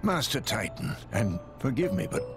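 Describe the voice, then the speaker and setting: An actor voicing a noble, loyal tiger companion in a game, formal and courteous. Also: male voice, medium pitch, sing-song, loud music behind the voice, lightly acted. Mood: calm, courteous. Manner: formal, measured, deferential. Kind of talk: video game cutscene acting.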